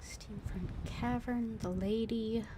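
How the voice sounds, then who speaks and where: A woman game streamer giving casual, unhurried live commentary and reading bits of dialogue aloud. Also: fairly high pitch, steady tone, noisy background. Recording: music behind the voice.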